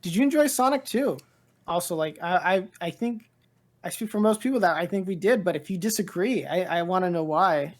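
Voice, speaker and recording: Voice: mid-range voice, expressive, fairly quick. A podcast co-host chatting casually about pop culture, rambling and often repeating phrases.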